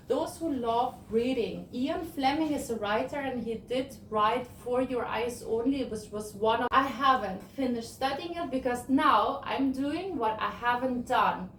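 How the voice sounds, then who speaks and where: A woman in her thirties giving an animated motivational talk to an audience, acting out the conversations she tells. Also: a little high, a little hiss or hum. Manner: animated storytelling, acts out dialogue. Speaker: guest speaker. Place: event space, sunset gathering. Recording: echoey room, distant mic.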